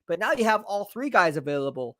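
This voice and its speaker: A man live-streaming football draft commentary, excitable and hyping each pick, his voice going hoarse. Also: high-pitched, brisk, dry recording. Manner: excitable, hypes picks, reads chat aloud. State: losing his voice.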